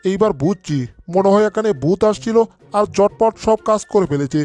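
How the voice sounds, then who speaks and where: A man's voice: high-pitched, moderate pace, a little mellow, soft background music, natural voice. A man voicing a grumbling, easily spooked bulldog in a cartoon dub, exclaiming in bewilderment. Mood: puzzled.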